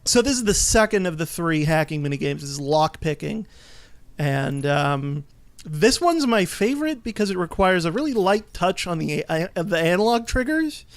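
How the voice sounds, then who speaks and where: A man's voice: sits high, big pitch swings, normal speed, dry recording. A man giving casual, easygoing commentary as he plays and explains a video game. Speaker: let's play host and player.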